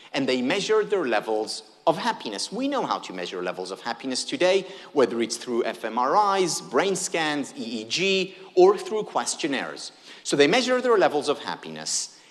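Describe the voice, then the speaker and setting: A man's voice: medium pitch, long reverb tail. A man whose first language is Hebrew gives a conference keynote, engaging the audience with questions and humor. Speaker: keynote speaker.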